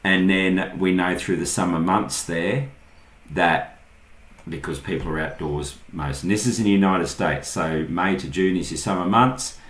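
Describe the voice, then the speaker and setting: A man's voice: sits low, unhurried, fairly bright tone. An Australian man giving a casual, unscripted screen-share tutorial, thinking aloud in short pieces as he goes. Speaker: online tutorial host. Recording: roomy, echoing voice recording.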